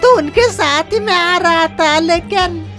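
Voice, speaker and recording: A woman's voice: very high-pitched, slow, exaggerated voice. A woman voicing a naive, boastful young village man in a cartoon horror story, with earnest delivery. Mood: shaken, explaining. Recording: loud music behind the voice. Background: loud music.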